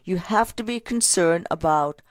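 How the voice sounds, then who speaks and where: A woman co-hosting a financial radio show, telling client stories in an energetic, talkative way. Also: lowish voice, measured pace, dry recording, studio quality. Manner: energetic, storytelling with client anecdotes. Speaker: radio co-host, financial advisor. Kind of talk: casual radio finance talk.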